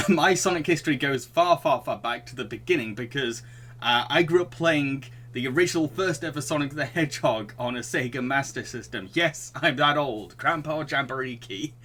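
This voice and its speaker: A man's voice: mid-range voice, moderate pace, pushed and bright. A man hosting a casual film podcast, often repeating phrases as he goes. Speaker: podcast host.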